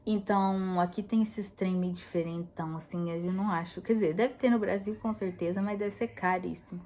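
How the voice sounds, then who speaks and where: A woman's voice: medium pitch, fairly even intonation, fairly quick, dark tone, a little hiss or hum. Chatty woman rambling in a casual vlog. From United States. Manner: chatty, rambling, laughs often. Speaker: vlogger. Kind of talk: casual chat.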